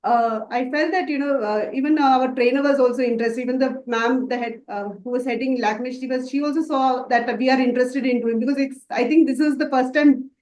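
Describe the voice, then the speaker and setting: A woman's voice: high-pitched, steady tone, brisk, hardly any pauses, darkish tone, roomy sound. A woman from Dubai answering interview questions about her makeup work, hesitant and full of fillers and restarts. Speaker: makeup artist student.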